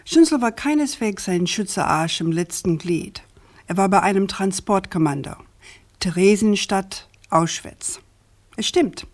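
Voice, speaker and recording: An actress playing a persistent, assertive journalist in a radio crime drama, speaking in an articulate, pointed way. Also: female voice, medium pitch, lively intonation, unhurried, darkish tone, natural voice. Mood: grave, pointed.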